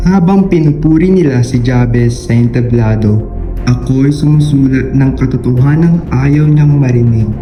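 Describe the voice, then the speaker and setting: A man's voice: medium pitch, dull audio, close to an everyday voice. Man as defiant student son, radio drama; earnest, brooding, echoey room, music underneath. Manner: earnest, code-switching with English. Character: bitter, defiant student son secretly writing exposés.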